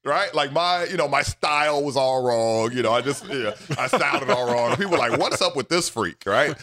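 A middle-aged man from the United States, a scientist guest telling his story in an animated way, often checking in with "right?". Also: mid-range voice, moderate pace, no echo, studio quality. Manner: animated, with many repeated "right?" asides. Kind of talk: storytelling in a podcast interview.

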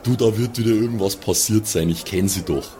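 Low-voiced or man. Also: fairly quick, warm tone, slight background noise. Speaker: tabletop player. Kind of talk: casual improvised role-play chat.

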